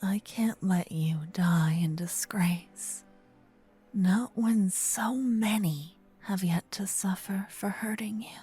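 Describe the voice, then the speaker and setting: A woman playing a possessive demon queen spirit in a fantasy ASMR roleplay, breathy, smug, teasing and regal. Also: mid-range voice, takes it slowly, mellow, soft background music. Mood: coaxing, possessive.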